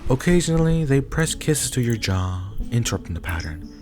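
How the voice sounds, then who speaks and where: A man's voice: mid-range voice, big pitch swings, fairly quick. A man reading scripted narration for an ASMR roleplay story. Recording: clear music behind the voice.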